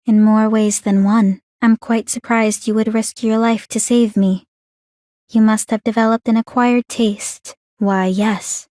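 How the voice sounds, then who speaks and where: An actress voicing a calm, clinically curious young woman in a video game, speaking in a formal, measured, coolly teasing way. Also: fairly high pitch, no room sound, clean studio sound, natural voice. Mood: composed, appreciative. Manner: composed, measured, formal, inquisitive. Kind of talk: scripted video game character voice lines.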